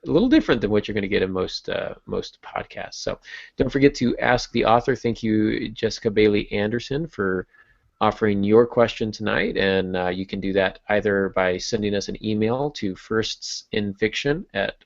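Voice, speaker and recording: A man's voice: fairly low pitch, moderate pace, creaky. A man hosting a podcast, talking casually about the craft of writing. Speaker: podcast host. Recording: muffled lo-fi podcast audio.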